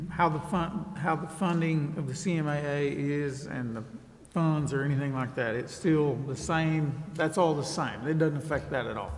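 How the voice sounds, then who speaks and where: A man's voice: fairly high pitch, normal speed. A man making informal remarks at a public council meeting. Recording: echoey hall, faint noise, clicks.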